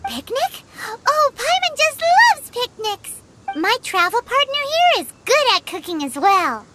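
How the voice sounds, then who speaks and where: A woman voicing a tiny, childlike, excitable female fairy companion in a video game, over music. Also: very high-pitched, unhurried, background noise, exaggerated voice. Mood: delighted. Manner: speaks of herself in the third person.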